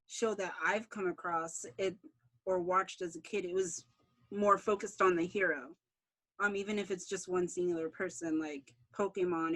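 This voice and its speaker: A woman's voice: on the low side, fairly bright tone, low-quality recording. A young woman giving casual, unscripted interview answers as a writer, thinking aloud in loose, informal fragments. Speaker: interviewee, writer and show creator.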